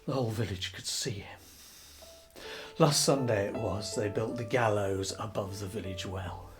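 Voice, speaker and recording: An actor playing a weary, embittered but loyal revolutionary husband in a theatrical period drama, speaking gravely with halting emphasis. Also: male voice, on the low side, lightly acted. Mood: grave indignation. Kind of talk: dramatised classic novel, theatrical acting. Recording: guitar music behind the voice.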